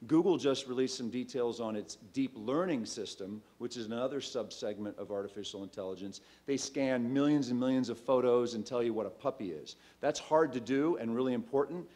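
A man with a mid-pitched voice presents as a startup founder. His delivery is conversational and emphatic, with direct questions to the listeners. He speaks into a microphone on an auditorium stage. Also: brisk, few pauses. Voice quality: loud voice. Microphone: microphone on a lectern stand.

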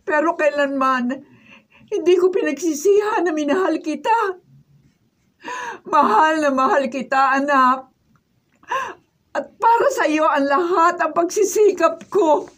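A woman's voice: sits high, a little slow, pauses often. A woman playing a humble, loving single mother in a Filipino radio drama, earnest and emotional. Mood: grateful, moved. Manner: tearful, earnest, emotional delivery. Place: radio drama recording studio. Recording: faint noise, behind-the-scenes studio recording.

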